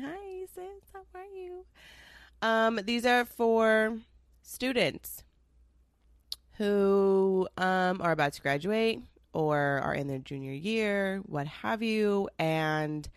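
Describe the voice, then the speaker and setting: A young woman with a lowish voice talks straight to her listeners in a loose, rambling podcast chat, wandering into asides and dropping the odd swear word. She sounds slightly nasal and stuffed up from a cold. The sound is close and dry. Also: no echo. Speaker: podcast host. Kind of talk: casual solo podcast chat.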